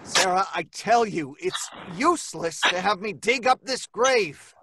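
An actor playing an anxious, disbelieving husband in an old-time radio horror play, earnest, halting and melodramatic. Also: male voice, sits high, animated, sharp tone, slight background noise, heavily acted. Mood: reluctant, grim. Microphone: close studio microphone.

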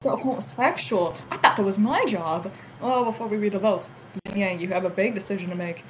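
A woman's voice: mid-range voice, brisk, a little hiss or hum, low-quality recording. A woman improvising a joking cartoon fandub in a giggly, theatrical manner. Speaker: amateur fandub voice actor.

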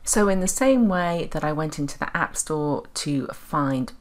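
Woman, low-pitched voice, presenting an instructional software walkthrough voice-over. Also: expressive, measured pace. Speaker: tutorial presenter. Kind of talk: explanatory software tutorial voice-over.

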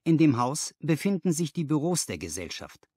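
Man playing brisk, eager young clerk, animated pitch. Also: breathy edge, dry recording, studio quality. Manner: lively, helpful, emphatic.

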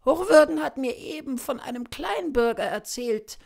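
A man voicing a pious, self-pitying dying gentlewoman in a story reading, plaintive and dramatically fretful. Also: very high voice, expressive, normal speed, gravelly, voice pushed up, heavily acted. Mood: reproachful. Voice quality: weak, plaintive. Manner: fervent, dramatic lamenting.

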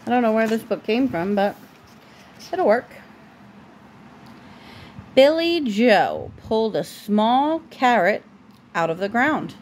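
A woman reading a picture book aloud to a child at home, breaking off for informal, gently correcting asides. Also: on the high side, very animated, slow pace, pauses often, a little hiss or hum. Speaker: parent reading a bedtime story to a child.

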